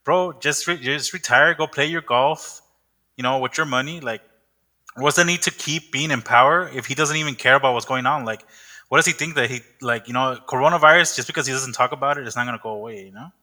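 A man's voice: mid-range voice, brisk, bright, forceful tone. A young man hosting a casual podcast chat, rambling with many fillers and restarts. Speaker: podcast host.

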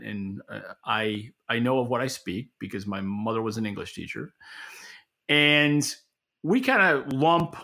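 A man's voice: mid-range voice, creaky, pushed and bright. A man co-hosting a podcast, giving opinionated, conversational commentary with a wry, dry sense of humor. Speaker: podcast co-host and market analyst.

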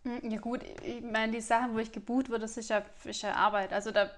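Twenties singer, Swabian lilt, high and level voice, relaxed and giggly. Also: female voice, fairly quick. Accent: Swabian German. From Swabia, Germany. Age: 20s. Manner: relaxed, laughs often, many fillers. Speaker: singer and influencer. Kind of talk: casual interview answers.

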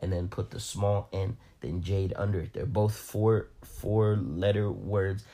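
Low, gravelly raspy-voiced man. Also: a little slow, dark tone. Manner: brash, joking, profane. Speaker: guest, host's brother.

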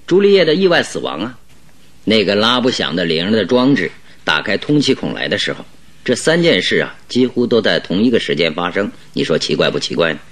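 A man playing a shrewd, calm detective in a Mandarin radio drama, speaking deliberately and with emphatic instruction. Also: fairly low pitch. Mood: grave, deliberating. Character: shrewd, commanding male detective, calm and exacting.

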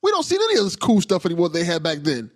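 A man's voice: high-pitched, huge pitch swings, racing, dry recording, clean studio sound. A man hosting a casual podcast interview, enthusiastic and prone to stuttered restarts. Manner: enthusiastic, repeats words as he starts sentences. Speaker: podcast host.

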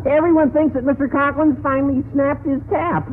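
An actor playing a goofy, slangy, squeaky-voiced teenage schoolboy in a vintage radio sitcom. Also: male voice, steady tone, a little fast. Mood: excited, gossipy. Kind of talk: 1940s-50s radio sitcom acting. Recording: noisy narrow-band radio recording, audience noise.

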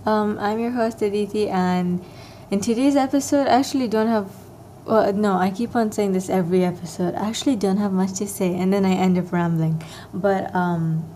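A young woman talking alone into her podcast mic, meandering from thought to thought with plenty of ums and likes. Her voice is soft and mellow, with a slight morning rasp. She speaks at a middling pitch in an easygoing, self-deprecating way. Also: normal speed, slight background noise. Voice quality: soft, soothing voice. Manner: rambling, filler-heavy, self-deprecating. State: slightly raspier than usual, morning voice. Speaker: podcast host. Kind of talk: casual solo podcast chat.

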